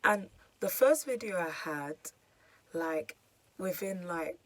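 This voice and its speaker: A young woman with a hoarse, croaky voice, chatting casually through a makeup tutorial with lots of fillers. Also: lowish voice, lively intonation, slow, no room sound. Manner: chatty, filler-heavy, self-deprecating. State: hoarse, losing her voice. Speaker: beauty vlogger.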